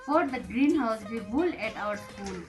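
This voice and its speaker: Fairly high-voiced woman reading a story. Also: expressive, measured pace, faint music behind. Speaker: story reader. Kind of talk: read-aloud children's bedtime story.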